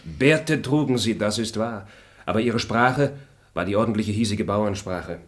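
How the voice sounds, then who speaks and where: An actor voicing a composed, fair-minded gentleman traveler in a radio play, speaking in a measured, formal and articulate way. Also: on the low side, natural voice. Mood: calm. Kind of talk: German radio play acting.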